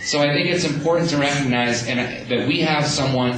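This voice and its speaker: A man's voice: on the high side, brisk, a bit bright, a little hiss or hum. A man giving an earnest speech as a community organizer, with many restarts. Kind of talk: speech at a rally. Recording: echoey room, speaker far from mic.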